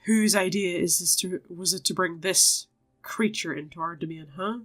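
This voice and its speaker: A woman's voice: fairly low pitch, moderate pace. A woman reading story dialogue from a video game aloud, playing the parts herself.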